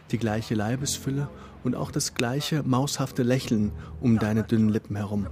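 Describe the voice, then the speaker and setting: A man's voice: mid-range voice, darkish tone. A man telling a radio play story in the second person, in flowing descriptive narration. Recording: faint music under the voice. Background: faint instrumental music.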